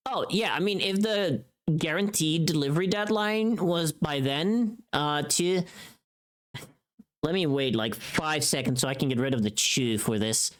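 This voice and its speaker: Casting: mid-pitched livestreamer voice, chatty and informal, thinking aloud during a casual work stream. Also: measured pace. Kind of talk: casual livestream chat while working.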